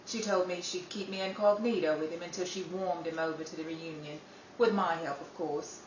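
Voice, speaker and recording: A woman's voice: lowish voice, steady tone, moderate pace, a little hiss or hum, natural voice. Woman playing a wry young Englishwoman. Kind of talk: 1930s-style radio drama acting. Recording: roomy room, distant mic.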